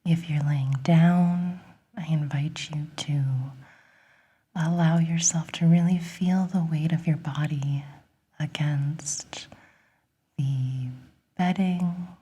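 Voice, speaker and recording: A woman whispering a guided relaxation in a gentle, soothing, breathy ASMR style, encouraging the listener. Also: deep voice, fairly even intonation, slow.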